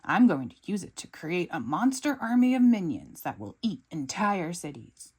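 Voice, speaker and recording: A woman's voice: on the low side, sing-song, normal speed, a little mellow, husky. A woman reading a storybook aloud, voicing a big, boastful male villain who swings between jovial and menacing.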